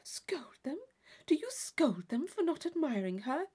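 A woman's voice: high voice, very animated, measured pace, mellow, voice pushed up. A woman voicing a naive, eager young girl in a classic novel reading, sweet, breathless and earnest. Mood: puzzled. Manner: breathless, wide-eyed, earnest.

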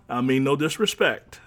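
A man hosting a casual dating talk show, relaxed and joking, with a drawl. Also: medium pitch. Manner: easygoing, joking, drawls y'all. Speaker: host.

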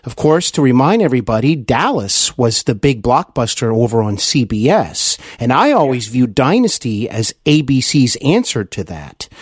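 A man interviewing a guest as a podcast host, polite and measured, with hesitant pauses. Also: medium pitch, dry recording, clean studio sound.

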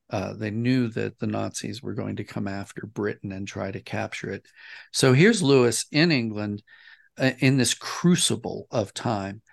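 A man speaking as an interview guest, explaining things in a measured, articulate way. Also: on the low side, vocal fry. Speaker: interview guest, audio dramatist.

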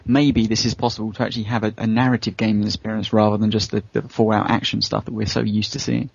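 A man's voice: lowish voice, little pitch movement, fast, vocal fry, husky, dry recording, dull audio. A man discussing video games casually as a podcast co-host.

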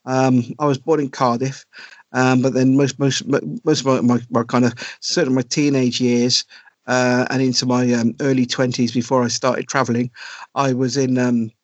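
A man's voice: medium pitch, level tone, normal speed, dry recording. Hesitant man, dry lo-fi sound. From South Wales. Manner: hesitant, many ums and restarts. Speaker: podcast guest, comic writer. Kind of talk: casual interview chat.

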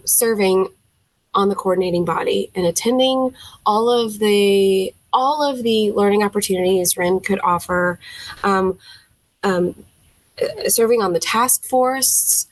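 A woman's voice: mid-range voice, no room sound, poor audio. A young woman from Tennessee with a Southern accent, a music teacher speaking as a podcast guest, telling her story animatedly with frequent fillers and acted-out reactions. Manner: animated, acts out her own quoted reactions; frequent fillers, thinks aloud. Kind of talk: casual storytelling interview.

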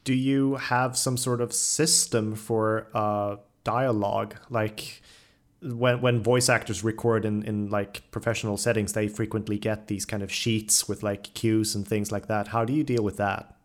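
A man's voice: on the low side, level tone, measured pace. A man hosting a podcast interview, chatting casually and circling tentatively toward his questions.

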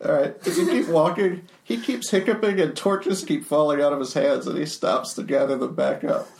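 A man narrating as game master of a tabletop game, relaxed and unhurried with fillers, recorded with a roomy echo. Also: fairly high pitch, vocal fry. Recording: roomy echo, faint noise.

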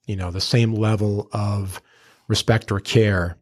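A man with a low voice hosts a casual hip-hop interview show. He talks earnestly and effusively, dropping slang as he goes. The recording is dry and close, with very little room sound. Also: steady tone, unhurried, dry recording. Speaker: podcast and radio host.

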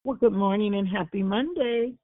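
A woman hosting a prayer conference call, greeting each caller with repeated good mornings and affectionate names. Her voice can get a little raspy. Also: medium pitch, moderate pace, slight background noise. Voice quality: gets raspy. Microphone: telephone. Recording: tinny phone line.

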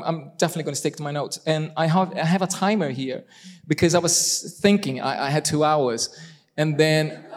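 Man, Brazilian Portuguese accent, sermon, playful asides, fairly high voice, long reverb of a church hall. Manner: animated and self-deprecating, with asides to the congregation. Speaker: guest preacher.

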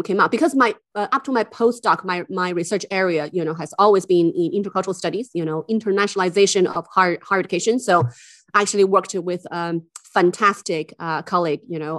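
Woman from china guesting on a podcast, lowish voice, bubbly and rambly, dry close sound. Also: fairly even intonation, a little fast, no echo. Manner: chatty and enthusiastic, many fillers and restarts. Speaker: guest, university intercultural officer. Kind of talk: casual interview chat.

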